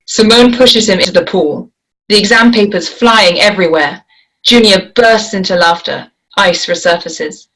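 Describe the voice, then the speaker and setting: A woman's voice: mid-range voice, moderate pace, fairly bright tone, poor audio. A woman reading stage directions as the narrator at a table read, in scripted narration.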